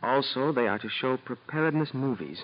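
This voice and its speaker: A man's voice: medium pitch, lively intonation, normal speed, natural voice. An actor playing an earnest, anxious young male informant in clipped, respectful 1940s radio style. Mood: urgent. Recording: muffled old 1940s radio recording.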